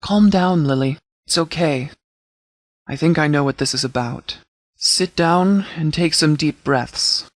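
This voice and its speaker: A man playing a stern, protective post-apocalyptic sheriff in a video game, speaking in a formal, deliberate, fatherly way. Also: on the high side, no echo. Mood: fatherly, reassuring. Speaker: video game mod character actor. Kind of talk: scripted game dialogue.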